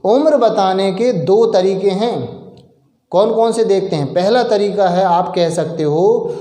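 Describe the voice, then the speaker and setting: A man from India teaching English in Hindi, explaining step by step, asking and answering his own questions, and drawing out example sentences slowly. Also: sits high, mellow, echoey room. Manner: slow, drawn-out example sentences.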